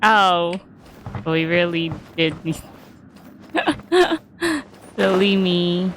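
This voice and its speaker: A woman's voice: fairly low pitch, very animated, drawn-out words, fairly bright tone. A woman giving casual, fragmentary commentary to herself as she plays a video game. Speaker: gamer recording a playthrough. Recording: clear game noise behind the voice.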